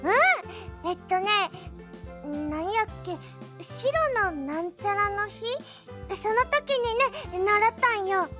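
A woman's voice: very high-pitched, animated, slow, heavily acted. A woman voicing an eager, childlike little creature with a western Japanese dialect and playful, wheedling manner in a radio drama. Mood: cheeky, proud. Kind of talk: anime-style radio drama acting. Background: children's music.

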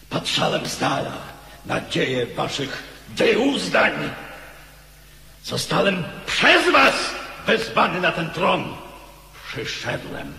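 Radio drama: embittered visionary king, grandiose, gravelly, with sweeping intonation. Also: high-pitched, sharp tone, full character voice. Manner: grandiose, declamatory. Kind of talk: theatrical radio drama acting. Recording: echoey hall with long reverb.

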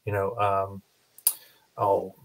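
A man's voice: low-pitched, completely flat delivery, extremely slow, vocal fry, poor audio. A middle-aged man hosting a casual panel chat, rambling a little as he thinks aloud. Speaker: host of a collector panel. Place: small home room, indoors, video call. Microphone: studio mic on boom arm close up.

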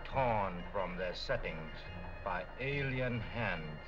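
An older actor playing a grave, wise old Chinese shopkeeper, formal, cryptic and deliberate, in a 1940s film. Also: male voice, low voice, expressive. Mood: solemn. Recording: muffled 1940 film soundtrack with music.

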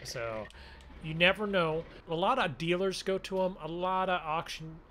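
Vehicle noise runs clearly behind a man with a somewhat high-pitched voice. He hosts a farming game video as casual roleplay. His delivery is chatty and folksy, as if talking viewers through the work. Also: normal speed, sharp tone. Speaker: gaming video host. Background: game vehicle engine sounds.

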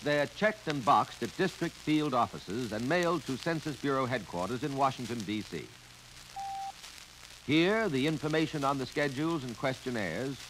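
An American man narrating a 1950 instructional film in a clear, instructive manner that addresses the listener directly. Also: medium pitch. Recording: noisy 1950 filmstrip soundtrack, steady crackle.

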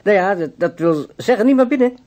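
Radio drama clerk: meek and deferential, high-pitched, faltering, very animated intonation. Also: male voice, a little fast. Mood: nervous, eager. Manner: hesitant, apologetic, stammering. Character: timid, deferential, nosy male office clerk. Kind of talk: radio play acting.

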